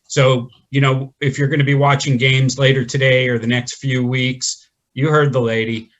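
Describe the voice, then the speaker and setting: A man hosting a webinar, giving upbeat, folksy closing thanks with many halting fillers over a video call. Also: mid-range voice, flat delivery, a bit bright. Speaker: event host.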